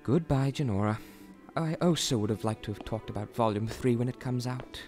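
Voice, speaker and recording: A man voicing a polite, conflicted young man in a cartoon parody, speaking formally and haltingly. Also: mid-range voice, a little mellow, faint music behind, close to an everyday voice. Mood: solemn.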